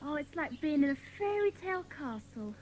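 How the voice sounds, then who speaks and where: A woman playing an enthusiastic, dreamy young schoolgirl in a dramatised children's audiobook, with an expressive, gushing delivery. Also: sits high, a little fast, rough recording. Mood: delighted.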